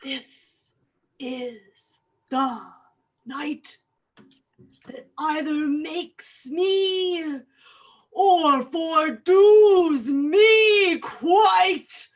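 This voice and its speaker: A woman's voice: high-pitched, drawn-out words. A woman playing an anguished, obsessed doctor hunting a killer, intense and declamatory in a mock-Shakespearean staged reading.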